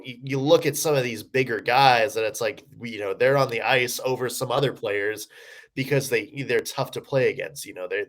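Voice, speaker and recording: A man's voice: mid-range voice, fairly bright tone. A man with a mid-range voice co-hosts a sports podcast. He talks through his analysis in a loose, rambling way, doubling back and restarting his sentences often. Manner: rambling, lots of fillers and restarts. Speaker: podcast co-host. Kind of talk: casual sports analysis chat.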